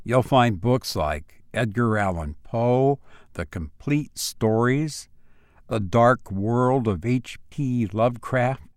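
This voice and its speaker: Slow-paced man narrating for a podcast. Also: mid-range voice, dry recording, clean studio sound. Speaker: podcast host and story reader. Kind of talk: podcast introduction and audiobook-style narration.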